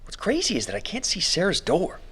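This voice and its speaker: An actor playing an anxious captive man who thinks aloud haltingly in an audio drama monologue. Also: sits high, wildly swooping pitch, fairly bright tone, breathy edge, exaggerated voice. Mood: puzzled, uneasy. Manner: halting, musing aloud, self-questioning. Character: anxious captive man exploring an underground maze. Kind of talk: audio diary monologue in fiction podcast drama. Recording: noisy ambient background.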